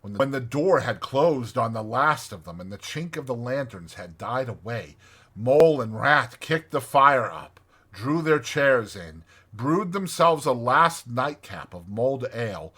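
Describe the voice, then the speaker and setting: A man gives a dramatized audiobook narration, expressive and measured. His voice is mid-pitched and forceful, with very animated intonation and a touch of rasp.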